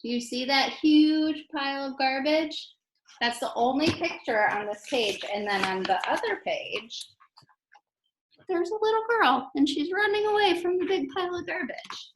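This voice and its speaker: An American woman reading poems aloud to children in an expressive way, speaking directly to her young listeners. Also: high-pitched, slight background noise. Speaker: children's poem reader and teacher. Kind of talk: animated read-aloud for children. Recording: roomy, echoey sound.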